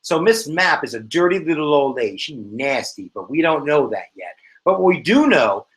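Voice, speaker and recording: A man with an American accent teaching a casual, joking exam review lesson in a slangy, animated way. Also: fairly high pitch, moderate pace. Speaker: history teacher.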